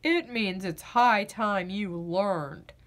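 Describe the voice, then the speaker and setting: A woman's voice: mid-range voice, takes it slowly, pitched below the performer's own voice, lightly acted. A woman voicing a kindly parent frog in a picture book, affectionate and reassuring. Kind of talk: children's picture-book read-aloud.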